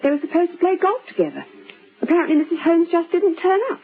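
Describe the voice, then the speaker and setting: A polite, attentive woman speaks high and with very lively swings of pitch. It sounds like a vintage radio play, slightly muffled. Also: fast, full character voice. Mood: concerned, helpful. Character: polite, solicitous woman of a suburban drama. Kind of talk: old-time radio drama acting.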